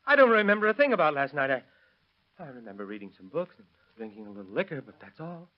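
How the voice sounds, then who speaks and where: An actor playing an agitated husband and murder suspect in a 1930s radio drama, on a muffled old recording. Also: male voice, fairly high pitch, sing-song, quick pace. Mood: pleading, confused.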